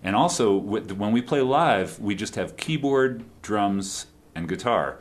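A man's voice: lowish voice, animated, unhurried. A man talking casually as a band musician being interviewed live in a radio studio.

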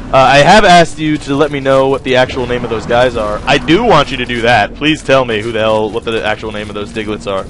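A man's voice: mid-range voice, a little fast, hardly any pauses, a bit bright. A young man giving casual, rambling commentary over a video game, joking and talking to his viewers. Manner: rambling, joking, self-mocking, talks to viewers. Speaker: gaming video host. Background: faint game music.

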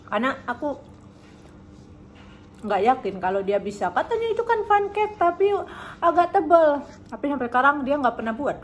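A woman's voice: high-pitched, very animated, normal speed. Chatty Indonesian woman reviewing food. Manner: chatty, savoring, hums while eating. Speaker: food vlogger. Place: bright living room indoors. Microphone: camera on table in front.

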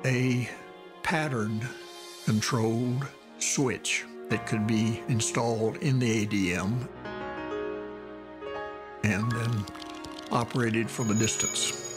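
An American man explaining in a documentary interview with deliberate, halting phrasing. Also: medium pitch, steady tone, over music.

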